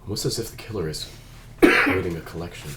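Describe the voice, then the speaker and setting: A man's voice: low voice, brisk. A man reading a script at a cast table read, mixed with casual chat. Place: indoor room at a group table read. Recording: noisy room recording.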